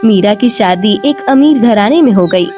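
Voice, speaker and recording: A woman's voice: mid-range voice, expressive, moderate pace. A woman reading scripted narration for a moral story as its storyteller. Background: background music.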